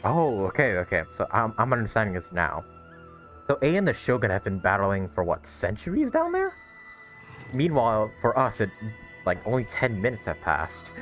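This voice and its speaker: A man's voice: on the low side, wildly swooping pitch, normal speed, fairly bright tone. A man playing through a video game, reacting expressively and reading dialogue aloud. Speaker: gaming streamer. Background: faint game music.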